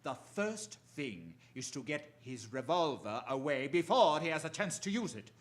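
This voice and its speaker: An actor playing a commanding, menacing crime boss, imperious and deliberate in a theatrical radio drama style. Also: male voice, a little high, big pitch swings.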